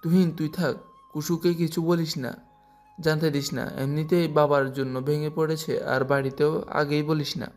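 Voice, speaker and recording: A man's voice: on the high side, little pitch movement, moderate pace, soft background music, lightly acted. A man voicing a worried young man in an audio story, giving orders in an urgent, firm way. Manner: urgent, commanding.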